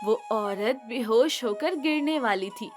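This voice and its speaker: A woman's voice: fairly high pitch, animated, slow, over music. A woman narrating a scripted moral story for children.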